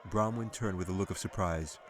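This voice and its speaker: Low-pitched male fantasy storyteller. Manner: measured dramatic storytelling. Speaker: author reading own audiobook. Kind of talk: scripted fantasy audiobook narration.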